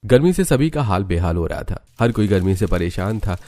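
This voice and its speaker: A man's voice: on the low side, expressive, fairly quick, dark tone. A man reading scripted narration for a cartoon village story.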